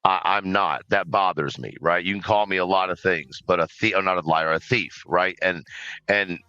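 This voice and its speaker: A man with a loud, deep voice speaking on a live audio panel, explaining himself in a measured way and often checking in with "right?". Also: smooth, dry recording, lo-fi sound. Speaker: panel participant.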